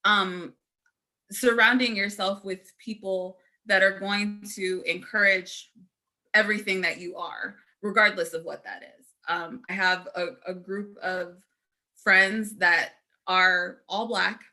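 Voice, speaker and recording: A woman panelist telling her own story in an earnest, halting way with frequent ums. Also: medium pitch, steady tone, bright, forceful tone.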